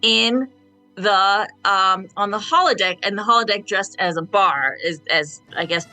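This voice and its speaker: A woman's voice: mid-range voice, measured pace, sharp tone, faint music behind. A woman chatting casually as a panelist in a radio fan discussion.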